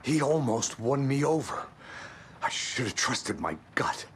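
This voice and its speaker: Hard-boiled detective type for a game, unhurried and deep-leaning, weary and deliberate, slight background noise. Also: male voice, big pitch swings. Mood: brooding. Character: weary, brooding male federal agent, hard-boiled and wary. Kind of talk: video game cutscene acting. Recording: light ambient background noise.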